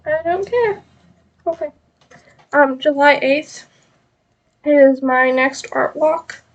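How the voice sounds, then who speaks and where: A woman's voice: sits high, slow, highs cut off. A woman with a stuffed-up nose chatting casually and rambling on a live knitting stream, with many ums.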